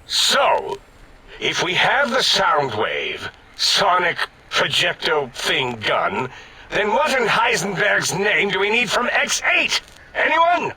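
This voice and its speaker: An actor playing an irascible, pompous robotic brain scientist in a video game, scolding through an electronic voice filter. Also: male voice, high voice, wildly swooping pitch, slow, pushed and bright, breathy edge, exaggerated voice, rough recording. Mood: pompous, impatient. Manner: bombastic, exasperated, scolding. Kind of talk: video game voice acting, retro sci-fi comedy.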